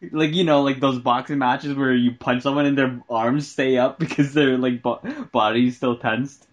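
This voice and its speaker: A man's voice: medium pitch, steady tone, measured pace. A man cracks wise with exasperation while watching a movie with friends. His mic sounds dull and lo-fi. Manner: exasperated, wisecracking. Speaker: co-host. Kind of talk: casual group movie commentary.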